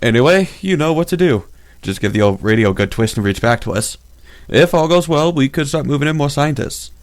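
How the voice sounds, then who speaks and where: A man's voice: on the high side, animated, fairly quick, close to an everyday voice. A man acting as a wry, chatty mission boss in game cutscene dialogue. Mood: wry, casual. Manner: casual, wry.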